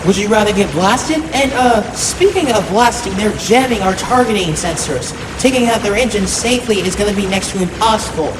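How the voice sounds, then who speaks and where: A woman's voice: medium pitch, animated, brisk, hardly any pauses, background noise, close to an everyday voice. Woman voicing casual robot cheetah gunner, echoey. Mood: wry. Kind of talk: comic dub acting. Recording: echoey room, music underneath.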